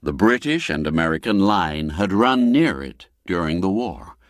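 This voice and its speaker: A man narrating a classic spooky tale in a measured, expressive storytelling manner. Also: deep voice, no echo. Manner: measured, expressive storytelling with sweeping rises and falls. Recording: dry studio with faint music.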